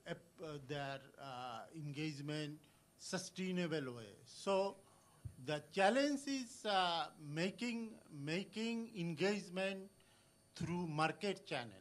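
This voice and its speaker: A man from Bangladesh speaks from the floor of a conference, stopping often to restart his sentences. His voice sits a little high. His words come unevenly, with many fillers. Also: expressive, takes it slowly. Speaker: audience member at a conference. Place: conference hall.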